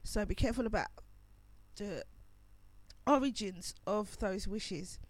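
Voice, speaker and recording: A woman's voice: mid-range voice, unhurried, no room sound. A woman hosting a pre-recorded radio show, chatting casually about astrology while losing her voice and coughing. She rambles and corrects herself as she goes.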